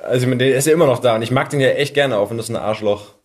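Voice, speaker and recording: A man's voice: mid-range voice, racing, husky. A man in his thirties hosting a podcast, chatting casually with his guest. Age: 30s. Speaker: podcast host. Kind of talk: casual chat.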